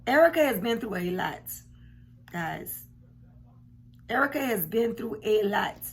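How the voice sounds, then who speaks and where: A woman in her forties, a bit hoarse, giving frank, emphatic commentary from her home, repeating points for weight. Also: mid-range voice, expressive, a little slow. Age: 40s. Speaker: commentary vlogger. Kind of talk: casual reaction commentary. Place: home room indoors. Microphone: camera at desk distance.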